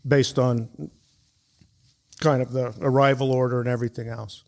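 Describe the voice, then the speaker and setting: A man's voice: medium pitch, little pitch movement, normal speed, noisy background. A man co-hosting a podcast, giving casual analysis in conversation. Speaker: podcast co-host.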